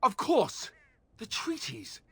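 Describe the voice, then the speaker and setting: An earnest young warrior talks in a fantasy game. His pitch bounces around expressively, and the sound is dry and studio-close. He comes across as boyish, eager and a little wary. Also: huge pitch swings, slow pace, creaky, breathy edge, no echo, heavily acted. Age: young adult. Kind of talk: video game dialogue acting.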